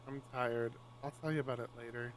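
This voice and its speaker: A man voicing a weary, guarded young male otter who talks low, quiet and clipped. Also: steady tone, brisk, recorded from a distance, a little hiss or hum, natural voice, poor audio. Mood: tired, evasive.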